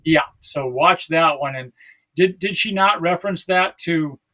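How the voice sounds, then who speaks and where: A man's voice: fairly high pitch, unhurried, sharp tone, low-quality recording. An American man in his sixties from South Dakota, talking casually and reflectively as a podcast guest, often searching for his words. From South Dakota, United States.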